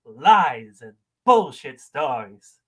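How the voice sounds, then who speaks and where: A man's voice: a little high, very animated, slow, a bit bright, breathy edge, heavily acted. Man acting as a melodramatic bitter accuser. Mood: bitter, accusing.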